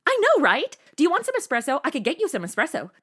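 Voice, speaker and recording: A woman playing a ditzy, brash young American in a comedy audio drama, slangy and excitable. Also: high voice, quick pace, heavily acted. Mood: wired, excitable. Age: young adult.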